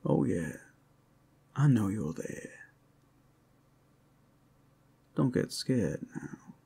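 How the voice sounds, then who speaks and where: A man voicing a teasing werewolf urban explorer in an ASMR roleplay, folksy and coaxing with a gravelly voice. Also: fairly low pitch, very animated, extremely slow, dark tone, heavily acted.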